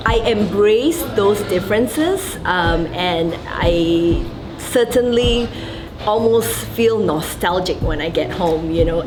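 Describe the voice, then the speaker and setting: A woman with a Malaysian accent chatting through her interview answers with fillers and light laughter. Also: medium pitch. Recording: background music throughout.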